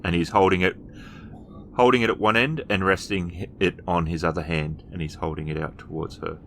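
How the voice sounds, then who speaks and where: A man narrating a tabletop game as game master, improvising descriptions in halting phrases. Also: low voice, fairly even intonation, fairly bright tone, a little hiss or hum.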